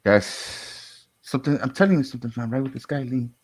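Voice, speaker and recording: A man co-hosting a livestream in an informal, halting way, chatting casually and reading out comments. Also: medium pitch, a little mellow, husky. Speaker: co-host.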